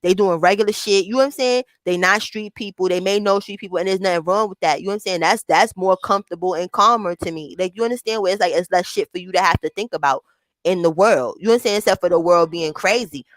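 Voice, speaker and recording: A woman with a high voice hosting a casual chat, talking in a blunt, profane, animated way. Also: fast, dry recording. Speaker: host.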